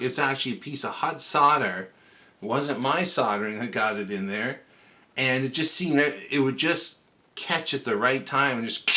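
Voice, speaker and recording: Bright-voiced man explaining a radio repair. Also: medium pitch. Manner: conversational, rambling, talks through steps. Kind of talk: casual unscripted repair walkthrough.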